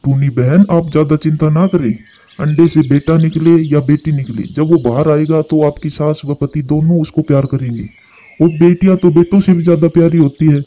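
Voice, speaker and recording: Man as brotherly crow, cartoon, kind, reassuring, raised pitch, dull lo-fi recording. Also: steady tone, moderate pace, lightly acted. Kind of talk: Hindi cartoon voice acting. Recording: slightly dull, lo-fi sound.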